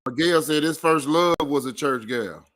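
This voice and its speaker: Man, Memphis accent, bright forceful voice, comic storyteller, co-host. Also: fairly high pitch, moderate pace. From Memphis, Tennessee. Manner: animated joke-telling storyteller. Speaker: comedian co-host. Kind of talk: casual comedic podcast chat.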